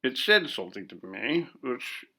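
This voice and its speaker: A man reading a ghost story, voicing a bold, blustering, pompous gentleman with emphatic, old-fashioned speech. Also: mid-range voice, sing-song, a little slow, slightly rough, full character voice. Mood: moody, uneasy.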